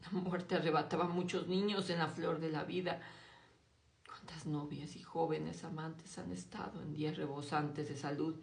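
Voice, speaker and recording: Woman reading a novel out loud, low gravelly voice, kinda echoey room. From Mexico. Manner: slow, hushed dramatic reading, voice drops low on grim passages. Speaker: audiobook reader.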